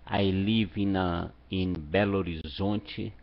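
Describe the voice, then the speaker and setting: A man's voice: low voice, steady tone, slow. A Brazilian-accented man, a native Portuguese speaker, introducing himself as a student in a language class. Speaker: English student, mechanical engineer. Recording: dull, lo-fi online call audio.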